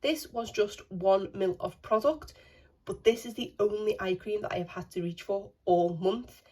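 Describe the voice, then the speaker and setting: A woman beauty vlogger, croaky from a cold, chatting casually to a handheld phone. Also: mid-range voice, normal speed, a little mellow. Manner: apologetic, chatty, rambling. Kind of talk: casual chat to camera. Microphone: phone held in hand.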